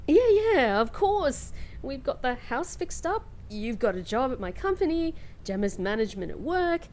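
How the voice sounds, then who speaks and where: Sardonic, animated young woman played by an actress. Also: high-pitched, normal speed. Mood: strained. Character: sardonic young woman, back from captivity, flustered. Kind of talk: comedy fantasy audio drama acting.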